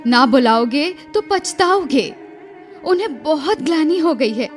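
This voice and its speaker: A woman's voice: high voice, lively intonation, a little slow, faint music behind. A woman playing a kind-hearted, repentant young wife in a radio drama, imploring urgently and repeating her words. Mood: regretful, urging. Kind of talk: Hindi radio drama acting.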